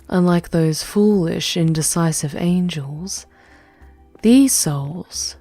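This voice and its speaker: A woman's voice: lowish voice, warm tone, no echo. A woman playing a regal, world-weary demon queen who guides a wanderer in a formal, intimate ASMR roleplay, often sinking towards a whisper. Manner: measured, formal, dropping into breathy whispers.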